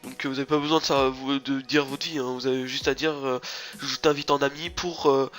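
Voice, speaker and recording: A man's voice: medium pitch, little pitch movement, fairly quick, a bit bright, faint music behind. A young man with a stuffy, nasal voice from a cold, rambling casually with lots of fillers and restarts as he introduces his gaming channel.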